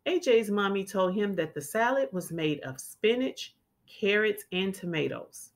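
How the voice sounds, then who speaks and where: A woman reading a children's storybook aloud as a teacher would, expressively and with clearly enunciated words for young listeners. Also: medium pitch, expressive, a little slow.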